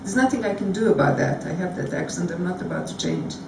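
A woman's voice: fairly low pitch, animated, fast talker, gravelly, distant mic, noisy background. A woman with a Belgrade Serbian accent speaking frankly and reflectively on a discussion panel. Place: large theatre stage before an audience. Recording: echoey hall recorded from afar.

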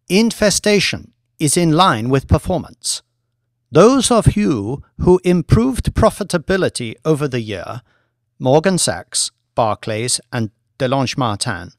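An earnest scientist played by a man delivers research in a formal, measured lecture for an audiobook. The words are clinical and deliberate, recorded close and dry. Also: mid-range voice, no room sound.

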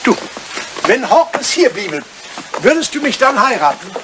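An irascible old English aristocrat in a radio drama. He sputters and repeats himself in a high-pitched voice that swings wildly up and down. The old recording is clearly noisy, with clicks. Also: sing-song, moderate pace. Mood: bitter, pleading. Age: older. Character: irascible, self-pitying aging English lord, jilted suitor. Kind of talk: radio play acting.

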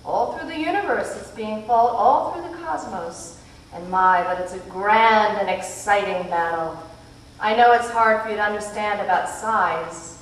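A woman's voice: mid-range voice, a little hiss or hum, lightly acted. A woman voicing a cheerful, motherly old female spirit who fondly calls the children dear. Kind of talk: audiobook character voice. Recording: echoey, distant from the mic.

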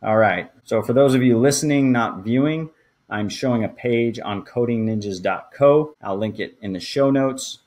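A man's voice: medium pitch, normal speed, darkish tone. A man in his thirties hosting a show, talking through things step by step in a conversational, explanatory way. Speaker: podcast host. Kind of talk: conversational walkthrough for a marketing show.

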